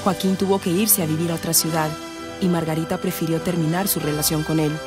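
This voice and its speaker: A woman's voice: sits low, fairly even intonation, fairly quick. A woman narrating a scripted radio drama. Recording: music behind the narration.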